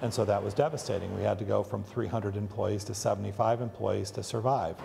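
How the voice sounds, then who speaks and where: Gravelly man speaking deliberately. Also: lowish voice, steady tone, in a large hall, noisy background. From Chicago area. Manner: deliberate, with many fillers. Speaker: factory owner interviewed. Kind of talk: interview soundbite.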